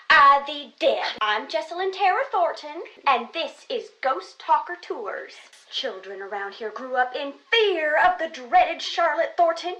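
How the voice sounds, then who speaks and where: A young ghost-hunting woman in a mystery game. Her voice is high and bright and swings widely in pitch. She is theatrical and playful, with a sarcastic, teasing streak. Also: heavily acted, low-quality recording. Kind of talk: scripted game voice acting.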